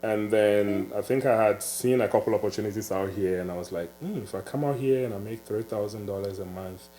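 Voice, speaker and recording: A man's voice: fairly low pitch, fairly quick, warm tone, slight background noise. A Ghanaian man in his thirties with a Ghanaian English accent, guest on a casual interview, laughing and poking fun at himself as he talks. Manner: laughs through his stories, self-mocking.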